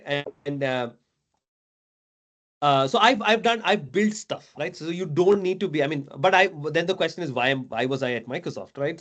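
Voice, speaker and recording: A man in his thirties, raised in Dubai, talking casually as a mentor, chatty, with many fillers and tag questions. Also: a little high. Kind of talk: casual video call chat.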